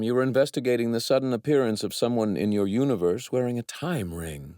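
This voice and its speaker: A man's voice: mid-range voice, a little mellow, no echo, studio quality, natural voice. A man in an anime dub voicing an arrogant young apprentice god, a righteous villain who speaks formally and precisely with courteous menace. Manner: formal, haughty, precisely worded.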